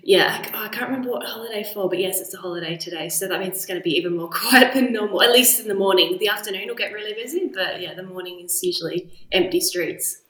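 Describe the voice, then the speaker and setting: An Australian woman, a sports dietitian being interviewed in an office, chatty and quick to laugh. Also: medium pitch, fairly bright tone, echoey room. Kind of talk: casual interview chat.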